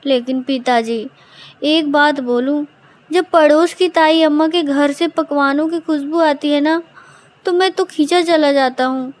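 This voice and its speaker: A woman's voice: sits high, fairly even intonation, measured pace. A woman voicing an innocent, hungry ten-year-old village boy in a story, speaking in a plaintive, childlike manner.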